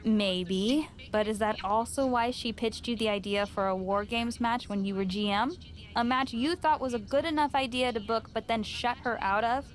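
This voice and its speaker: An actress playing a frank gym owner in a video game, mentoring with dry, teasing straight talk. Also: female voice, fairly high pitch, moderate pace, close to an everyday voice. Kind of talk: video game cutscene dialogue.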